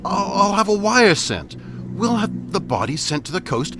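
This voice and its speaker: A man's voice: high-pitched, sing-song, moderate pace, creaky, noisy background, full character voice. A man playing a brash, scheming Hollywood studio fixer in a 1940s radio drama, slangy and cynical.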